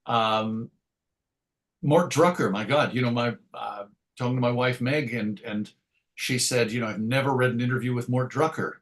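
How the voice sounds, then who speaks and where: A middle-aged man with a medium-pitched voice reminisces as an interview guest. He talks casually and tells his stories in a chatty, wry way. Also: moderate pace. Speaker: interview guest, comics writer and editor.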